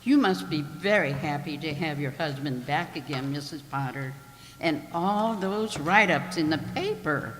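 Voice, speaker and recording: A woman's voice: sits low, lively intonation, measured pace, a little hiss or hum. A woman playing a chatty, gossipy grocery cashier in an amateur radio play. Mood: chatty, curious. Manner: gossipy, inquisitive, repeats the orders back. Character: chatty, nosy female grocery store cashier. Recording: echoey hall, long reverb.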